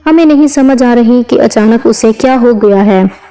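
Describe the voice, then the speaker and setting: A woman's voice: fairly high pitch, unhurried, warm tone, natural voice, rough recording. A woman storyteller voicing a worried, bewildered mother of a grown son in an audio story.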